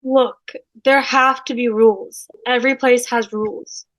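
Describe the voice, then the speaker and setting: A woman's voice: a little high, fairly even intonation, unhurried, fairly bright tone, low-quality recording. A woman in an amateur table read over a video call, playing a reasonable bartender. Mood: reasoning. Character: reasonable bartender arguing for rules.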